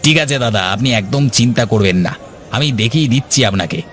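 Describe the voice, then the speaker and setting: A man's voice: medium pitch, lively intonation, fast, fairly bright tone, faint music behind, close to an everyday voice. A man playing a wheedling pushy fish seller. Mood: coaxing. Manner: wheedling, hesitant fillers.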